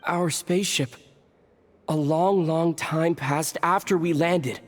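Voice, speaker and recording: A man voicing a weary, solemn young traveler hero in an anime game, subdued and halting, with echoing reverb. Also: fairly high pitch, faint music behind. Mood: dazed, somber. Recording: long hall-like reverb.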